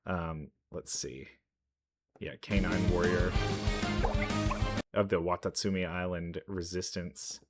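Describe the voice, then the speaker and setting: A man's voice: sits low, steady tone, takes it slowly, long pauses. A man giving casual game trailer commentary as a reaction host, thinking aloud in an enthusiastic, rambling way. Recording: music behind the voice.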